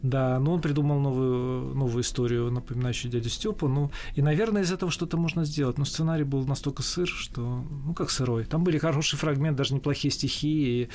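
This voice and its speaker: A man's voice: mid-range voice, fairly quick, few pauses, a little mellow. A man answering as an interview guest, speaking conversationally in short, reflective, slightly hesitant fragments. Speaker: animation studio executive, interview guest.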